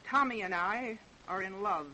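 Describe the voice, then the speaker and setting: A woman's voice: mid-range voice, normal speed, sharp tone, natural voice, rough recording. A woman playing a scheming, impatient unfaithful wife in an old radio crime drama, by turns coaxing and dismissive. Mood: defiant, pleading. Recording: faint hiss, old radio recording.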